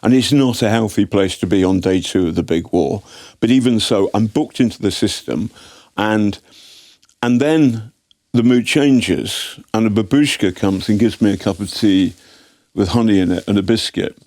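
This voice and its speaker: A man's voice: lowish voice, dry recording. Wry English man telling war stories. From Britain. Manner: wry, animated storyteller with halting restarts. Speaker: guest journalist. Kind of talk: anecdotal storytelling in interview. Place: TV studio.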